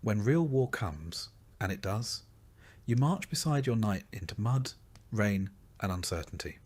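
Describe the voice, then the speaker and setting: A man reading scripted narration in a measured, dryly wry storytelling manner. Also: low voice. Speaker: narrator of a history video. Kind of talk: scripted second-person narration.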